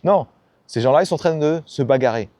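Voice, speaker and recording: A man's voice: on the high side, a little fast, warm tone, no echo. A man giving a blunt, slangy motivational talk about ambition and failure, often acting out the people he quotes. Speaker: self-help YouTuber. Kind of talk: casual motivational monologue.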